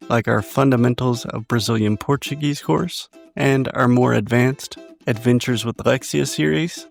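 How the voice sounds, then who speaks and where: A man co-host reads a scripted promotion steadily. His mid-pitched voice has a gravelly texture. Soft guitar music plays underneath. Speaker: podcast co-host.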